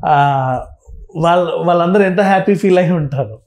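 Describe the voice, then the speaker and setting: A man talks as a commentator in an interview. His voice sits a little high and has a dark, relaxed tone. He speaks conversationally but emphatically, repeating phrases and slipping in English words. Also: normal speed. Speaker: film and political commentator, interview guest.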